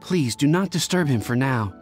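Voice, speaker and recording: A man's voice: mid-range voice, lively intonation, moderate pace, over music, natural voice. A man voicing a young, dutiful and earnest apprentice in an anime-style video game.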